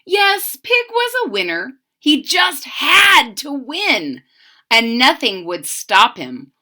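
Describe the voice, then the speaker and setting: A woman reading a children's picture book aloud in an expressive, sing-song manner, speaking directly to young listeners. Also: high voice, takes it slowly.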